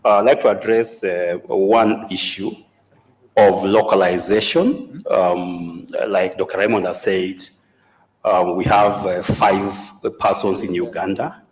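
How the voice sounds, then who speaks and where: A man from Kenya, a company representative, giving a formal speech at a health meeting, halting with many fillers. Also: low voice, rough recording.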